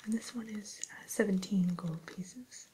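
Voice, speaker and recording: A woman's voice: medium pitch, a little slow. A woman playing a refined, sly evil queen shopkeeper in a whispered ASMR roleplay, with coy, hesitant, genteel sales talk. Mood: selling, confiding. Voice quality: breathy, soft whisper. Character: refined, sly evil queen running an enchanted mirror shop.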